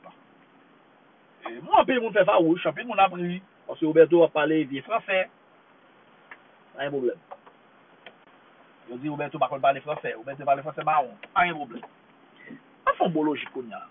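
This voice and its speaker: A man's voice: on the high side, a little fast, pauses often, a little hiss or hum. A man from Haiti speaking with a Haitian accent in an impassioned monologue, repeating himself for emphasis. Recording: tinny phone-like line.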